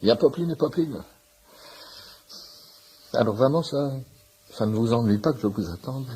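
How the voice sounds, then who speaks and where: A man's voice: mid-range voice, lively intonation, normal speed, warm tone, a little hiss or hum, lightly acted. A man playing a talkative, nosy shopkeeper who eagerly explains homeopathy in a pedantic way, in a French radio play. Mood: familiar, pleased. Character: chatty, nosy shirt-shop owner, amateur homeopathy enthusiast in waiting room. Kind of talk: naturalistic French radio comedy-drama acting.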